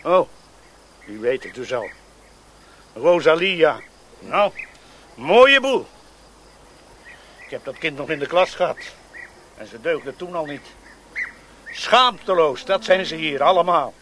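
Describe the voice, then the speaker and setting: Scornful schoolmaster friar, hot-tempered, biting bright delivery, radio drama, slight background noise. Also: male voice, fairly high pitch, big pitch swings, takes it slowly, pauses often, heavily acted. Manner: scolding, scornful.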